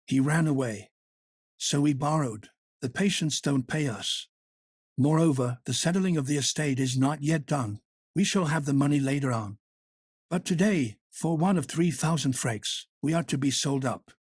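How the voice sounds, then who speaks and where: A man's voice: a little high, normal speed, breathy edge, dry recording, clean studio sound. A man voicing a desperate, coaxing young woman in an audiobook reading, his delivery emotional and imploring. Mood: urgent.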